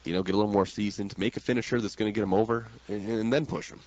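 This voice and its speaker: Male radio host, quick and lowish, informal and wry, slightly dull lo-fi audio. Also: steady tone, a little mellow. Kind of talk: casual wrestling talk and commentary.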